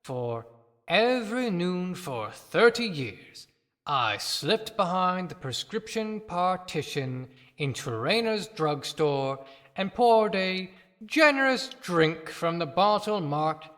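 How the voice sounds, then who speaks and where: A man's voice: high-pitched, big pitch swings, takes it slowly, fairly bright tone, exaggerated voice. A man voicing a hypocritical older churchman, a secret drinker, in a dry, subdued audio-play monologue. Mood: sly, confiding. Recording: long cavernous reverb.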